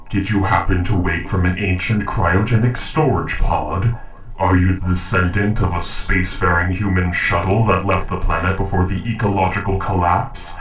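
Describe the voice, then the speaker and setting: A man voicing a polite, logical robot in a scripted audio roleplay, speaking formally and precisely. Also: sits low. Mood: intent, curious. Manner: formal, measured, precise diction. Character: polite, logical humanoid robot interrogating a captive human. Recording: roomy echo, distant from mic.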